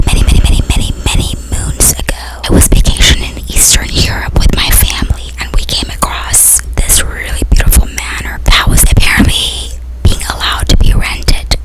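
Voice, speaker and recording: A woman playing a centuries-old vampire queen in an ASMR roleplay, telling stories in an intimate, casual, chatty way with a soft breathy whisper. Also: natural voice, low-quality recording. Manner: intimate, casual modern chatter, repeats words for emphasis. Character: centuries-old female vampire queen, doting, bossy, girlish storyteller. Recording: music behind the whispering.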